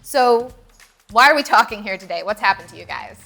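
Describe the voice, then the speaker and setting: A woman host asking interview questions in a crisp, friendly way. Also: mid-range voice, expressive, a little fast, pushed and bright, faint music behind.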